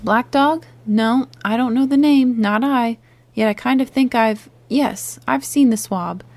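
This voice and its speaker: A loud, bluff one-legged pirate landlord bellows and cajoles in salty sea talk, as a woman reader puts him on. The voice rides a touch higher than plain narration, with a gravelly edge. It is an audiobook character reading. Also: measured pace. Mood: excited. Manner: loud, blustering, sailor slang. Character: hearty one-legged male pirate innkeeper, blustering.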